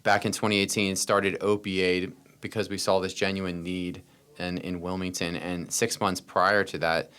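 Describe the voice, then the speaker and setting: Low-voiced, clear American man, earnest. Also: little pitch movement, normal speed, fairly bright tone. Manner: earnest, repeats phrases for emphasis, frequent "you know". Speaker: interview guest, startup founder. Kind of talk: personal storytelling in a podcast interview.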